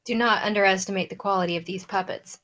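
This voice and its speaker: A woman's voice: medium pitch, fairly even intonation, fast talker, fairly bright tone. A woman taking part in a show, chatting casually. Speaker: show participant. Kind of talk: casual chat.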